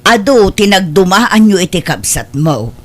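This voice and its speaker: A woman's voice: mid-range voice, sing-song, measured pace. An Ilocano-accented woman playing a frank older aunt who keeps a village store, in an audio drama. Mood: chiding. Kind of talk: Ilocano radio drama dialogue.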